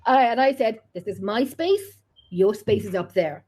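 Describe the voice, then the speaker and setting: A woman's voice: mid-range voice, lively intonation, moderate pace, darkish tone, breathy edge. An Irish woman hosting a chat show, talking loosely and laughing often. She drops in the odd mild swear word and tells stories with a rambling, friendly ease. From Ireland. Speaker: podcast host. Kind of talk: casual chat.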